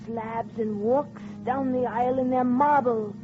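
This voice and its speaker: A woman's voice: on the high side, steady tone, close to an everyday voice. Actress as superstitious female housekeeper, rustic uneducated speech, humble but foreboding, old radio horror drama, muffled sound over music. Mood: ominous, foreboding. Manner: rustic uneducated speech, slow ominous emphasis. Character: superstitious, ominous, stubborn woman housekeeper, humble to employers.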